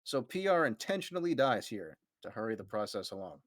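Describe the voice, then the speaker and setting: A man's voice: on the low side, big pitch swings, a little fast, no room sound, clean studio sound. A man reading a story aloud as narrator for a group, with amused asides to friends.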